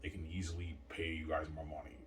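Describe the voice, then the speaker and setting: A man's voice: a bass voice, monotone, fast, a bit bright. A man in his thirties rambling boastfully in a casual monologue, tagging "right?" and "obviously" onto his points. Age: 30s. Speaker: channel host. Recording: distant mic with faint noise.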